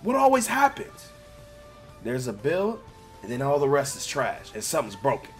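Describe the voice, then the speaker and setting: A young American man talks in a casual, profane style, like a gaming creator venting to his viewers. His pitch sits mid-range and his delivery is slangy and animated. Music plays behind his voice. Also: moderate pace. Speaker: gaming video creator commenting on clips. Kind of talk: casual, profanity-laden commentary.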